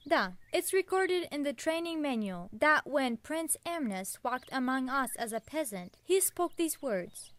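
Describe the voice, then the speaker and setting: Casting: actress as encouraging female instructor, high voice, dry studio sound, radio drama. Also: expressive, measured pace, few pauses, no echo. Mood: calm instructive. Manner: reassuring, instructive. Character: calm, encouraging female rifle trainer with Russian touches. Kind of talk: audio drama acting.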